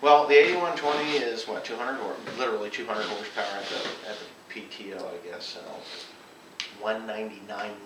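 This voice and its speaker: Farmer telling stories in a roomy, noisy recording. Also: male voice, lowish voice, a little fast. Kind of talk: casual rambling storytelling among friends. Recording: roomy, distant mic, noisy background.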